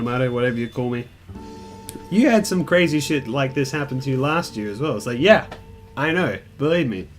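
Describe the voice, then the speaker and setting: A young man telling a personal story in a casual, rambling, candid way, with mild swearing and self-deprecation. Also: mid-range voice. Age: young adult. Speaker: gaming video creator telling a personal story. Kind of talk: casual storytime chat over gameplay. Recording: music behind the voice. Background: calm guitar music.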